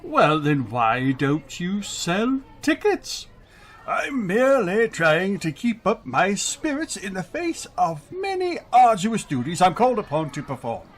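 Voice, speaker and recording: An actor performs a grouchy middle-aged man, a school principal in a radio comedy. He talks slowly and formally, with pompous swings of pitch. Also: sing-song. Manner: pompous, formal, hesitant when cornered. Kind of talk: old-time radio sitcom acting.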